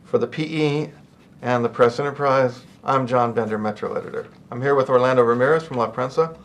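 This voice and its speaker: A man's voice: medium pitch, brisk. A man hosting a literary program, introducing a guest and asking questions. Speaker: host, newspaper editor. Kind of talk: video program introduction and interview.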